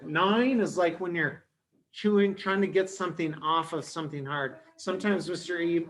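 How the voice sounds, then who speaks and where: A man telling a story to young children as a teacher, explaining things simply with dramatic pauses. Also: on the high side, a bit bright, low-quality recording. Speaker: storyteller, early education teacher.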